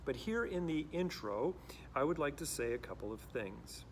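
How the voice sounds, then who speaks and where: A man's voice: medium pitch, lively intonation, moderate pace, slight background noise. A middle-aged man who grew up in Costa Rica, a pastor speaking to camera in a reflective, earnest way with frequent restarts. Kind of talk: direct-to-camera talk.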